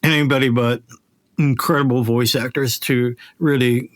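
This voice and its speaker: A middle-aged man hosting a podcast conversationally, halting and emphatic, often repeating phrases to drive them home. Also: mid-range voice, steady tone, dry recording. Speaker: podcast host.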